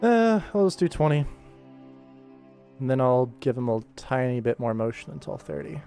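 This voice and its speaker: Chill guy livestreaming his work, average pitch, kinda thinking out loud, quiet music behind him. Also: very animated, normal speed, creaky. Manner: laid-back, thinking aloud while working. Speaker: animator, livestream host. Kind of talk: casual livestream work commentary.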